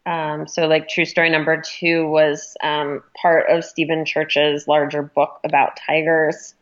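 A woman with a notably low voice talks as an interview guest. She explains her editorial work in a thoughtful, conversational way. The sound is a little dull and muffled. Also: level tone, measured pace.

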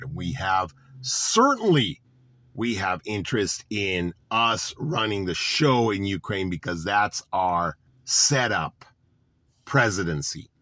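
Sarcastic man ranting, lively pitch. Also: husky, no room sound. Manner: sarcastic, full of rhetorical questions. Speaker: political commentator. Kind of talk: monologue to an audience.

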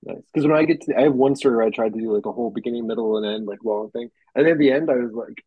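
A man's voice: medium pitch, rapid-fire, husky, dry recording, narrow, tinny audio. A man, a horror writer, chatting casually on a podcast in a rambling, self-deprecating way with many fillers.

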